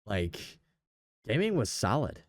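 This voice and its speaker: A man's voice: on the low side, very animated, slow pace, no echo, clean studio sound. A young man in casual gaming chat, speaking in a slangy, enthusiastic way. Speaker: podcast host.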